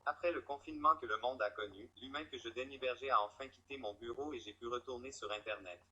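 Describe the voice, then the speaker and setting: A man's voice: medium pitch, quick pace, pushed and bright, slightly rough, highs cut off. A man voicing a pompous, wry talking cat who loves poetry and presents it in a scripted style. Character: haughty talking poet cat, playful, male, poetry lover.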